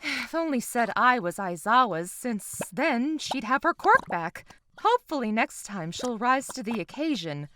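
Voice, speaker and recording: A woman's voice: fairly high pitch, very animated, sharp tone, slight background noise. A woman giving a scripted, dramatic story narration for a comic dub. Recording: faint bubbling mud ambience behind voice. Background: gooey quicksand sound effects.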